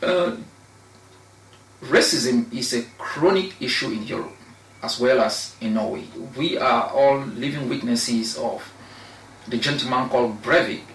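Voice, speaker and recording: A young man from Cameroon, a law researcher, giving measured, argumentative answers as an interview guest, with pauses and restarts. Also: mid-range voice, fairly bright tone, distant mic. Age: young adult. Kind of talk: interview discussion. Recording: echoey room, voice off-mic, faint noise.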